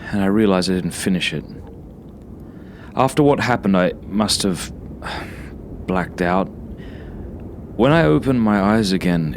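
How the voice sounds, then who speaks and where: A man narrates a horror story in a fairly low voice, taking it very slowly. His delivery is measured and dramatic, like a first-person confession read from a script. Some noise sits behind the recording. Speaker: author reading own horror story.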